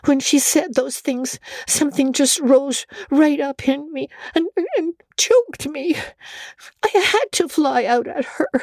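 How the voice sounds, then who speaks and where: A woman's voice: high voice, slow pace, a little mellow, no room sound, pitched above the performer's own voice. A woman voicing a tearful, defiant young girl in a dramatic storybook reading, pleading and wailing with wounded pride.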